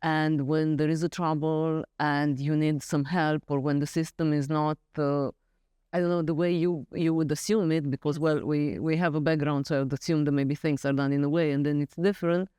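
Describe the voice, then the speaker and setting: A woman's voice: sits low, little pitch movement, moderate pace, warm tone, smooth, no room sound, studio quality. A woman hosting an interview, asking questions politely with hesitant phrasing and fillers. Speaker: podcast host.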